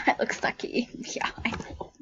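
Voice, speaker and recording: A woman commenting on a game she plays, excitable and jumping between shrieks and hushed whispers, sick and shivering with cold. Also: sits high, highs cut off, slight background noise. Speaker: game video creator. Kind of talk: casual gameplay commentary.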